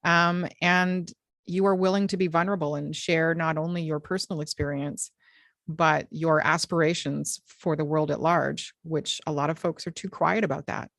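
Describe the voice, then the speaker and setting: A woman with a Canadian accent hosting an interview, speaking in a praising, halting way with frequent ums. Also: sits low, fairly even intonation, creaky, fairly bright tone, no room sound, clean studio sound. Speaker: podcast host. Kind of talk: casual interview chat.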